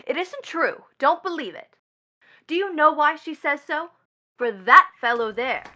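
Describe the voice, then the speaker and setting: A woman's voice: high-pitched, expressive, unhurried, bright, forceful tone, exaggerated voice. A woman playing a bitter, mocking young stepdaughter in a stage drama, with a taunting, theatrical delivery. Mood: insistent, scornful. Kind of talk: theatrical stage play acting.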